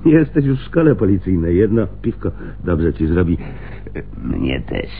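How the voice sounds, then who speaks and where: A man's voice: low voice, big pitch swings, unhurried, exaggerated voice. An actor playing a brusque, sardonic male homicide lieutenant in a radio play, wry and bossy, drawing out words for emphasis. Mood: jovial, bossy. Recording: muffled, phone-like radio recording with hiss.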